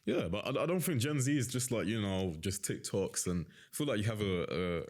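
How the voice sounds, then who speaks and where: A man's voice: fairly low pitch, lively intonation, moderate pace, darkish tone. A young man in his twenties co-hosting a casual podcast, rambling and packing his speech with "like" and "you know". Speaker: co-host and interviewer.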